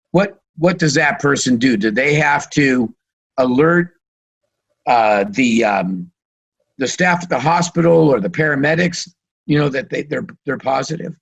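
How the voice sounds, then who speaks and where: A man hosting a radio interview, halting as he searches for words, with a voice that is starting to give out. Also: medium pitch, lively intonation, gravelly. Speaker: radio show host.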